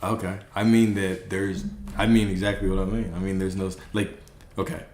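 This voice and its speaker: A man's voice: sits low, flat delivery, fairly quick, a little mellow, echoey room, a little hiss or hum. A man hosting a live stream, giving an emphatic spiritual pep talk and repeating his key phrases.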